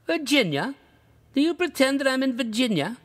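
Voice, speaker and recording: A man doing a high-voiced lost traveler. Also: big pitch swings, moderate pace, big-room sound. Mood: indignant. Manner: high strained protesting voice. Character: bewildered lost male traveler, indignant and wistful. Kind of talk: storybook reading.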